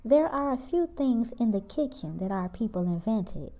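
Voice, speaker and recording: A woman's voice: lively intonation, normal speed. Woman playing a proud, teacherly mother, muffled audio. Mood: proud, instructive. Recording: muffled, low-fidelity audio.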